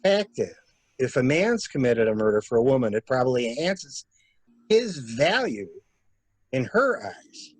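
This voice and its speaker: A man's voice: mid-range voice, very animated, unhurried, husky, dry recording. A man playing a cynical, plain-spoken adviser in an amateur mystery play, blunt and wry. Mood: blunt, advising. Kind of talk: amateur murder mystery radio play acting.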